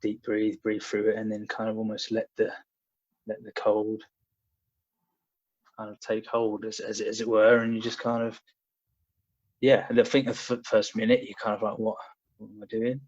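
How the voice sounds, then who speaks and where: Man, young adult, low steady pitch, halting chatty delivery, dull lo-fi recording. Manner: hesitant, many fillers and restarts. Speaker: podcast guest, personal trainer. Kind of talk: casual conversational storytelling. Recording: dull lo-fi audio.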